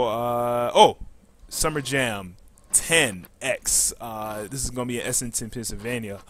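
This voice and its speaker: A man hosting a podcast casually, reading out announcements and often pausing mid-sentence. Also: medium pitch, fairly bright tone. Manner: halting, frequent pauses mid-sentence. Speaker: podcast host.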